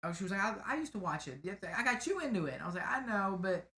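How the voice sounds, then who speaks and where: A quick-talking man with a bright, sharp tone tells rambling stories on a casual podcast. His voice bounces around an echoey room with a faint hiss of noise underneath. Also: sits high. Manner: rambling storyteller, lively retellings. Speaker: podcast co-host. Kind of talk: casual chat.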